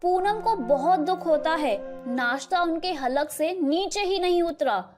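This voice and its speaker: A woman's voice: very high voice, expressive, measured pace. A woman narrating a scripted children's storybook tale. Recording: music playing behind the voice.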